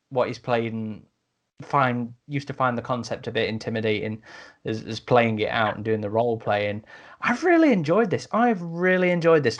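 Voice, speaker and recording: Man co-hosting a casual review podcast. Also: mid-range voice. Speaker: podcast host.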